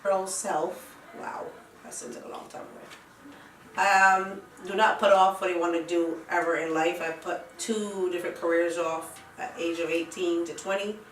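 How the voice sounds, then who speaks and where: A woman's voice: on the low side, a bit bright, a little hiss or hum. Barbadian woman in a roomy, distant recording. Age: 50s. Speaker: interviewee. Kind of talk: casual documentary interview. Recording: roomy, distant microphone, music behind.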